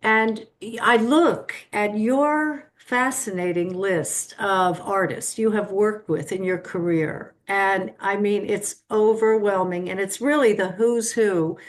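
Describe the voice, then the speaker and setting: A woman interviewer asks a long question in a measured, admiring way. Her voice is mid-pitched and even, as heard over a video call.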